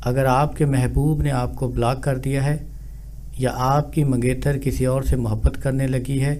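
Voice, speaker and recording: Man, soft-edged warm tone, measured delivery, formal religious instruction, slight background noise. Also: mid-range voice, little pitch movement. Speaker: spiritual healer giving instructions.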